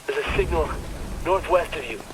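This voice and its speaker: An actor playing a jittery, excitable male tech hacker in a video game, talking in technical terms. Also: fairly high pitch, moderate pace, noisy background, lightly acted. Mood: rattled. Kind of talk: video game cutscene acting. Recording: music over the dialogue.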